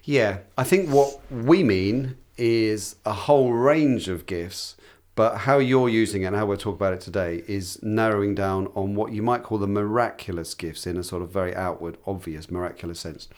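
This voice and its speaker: A man's voice: on the low side, normal speed, hardly any pauses, a little mellow. A man, a pastor, talking in a podcast chat, wry and self-deprecating, with a cold coming on.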